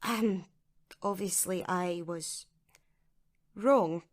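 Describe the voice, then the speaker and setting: A woman's voice: fairly low pitch, extremely slow. An actress playing a bossy, easily exasperated female leader of survivors in an audio drama. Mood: wary. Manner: commanding, exasperated.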